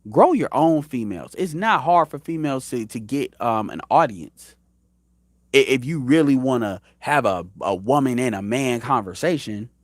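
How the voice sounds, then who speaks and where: A man's voice: medium pitch, lively intonation, normal speed, dry recording, studio quality. A man in his thirties giving blunt, profane opinion commentary as a show host, rambling with frequent restarts. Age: 30s.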